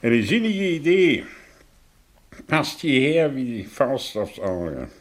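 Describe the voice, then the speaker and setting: Husky, swooping, sardonic professor. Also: male voice, medium pitch, very animated, slow. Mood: sardonic amusement. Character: sardonic, shabby male professor, theatrical and mocking. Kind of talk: radio play acting.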